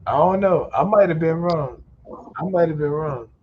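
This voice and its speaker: A man's voice: a little high, moderate pace, breathy edge, dull audio. A man hosting a casual online voice chat, slangy and loose, swearing often and laughing a lot. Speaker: host. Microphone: online call mic.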